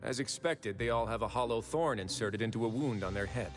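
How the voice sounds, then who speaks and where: A man voicing a composed noble fortress warden who speaks in a calm, measured, authoritative way, in game audio with music behind. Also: medium pitch, close to an everyday voice.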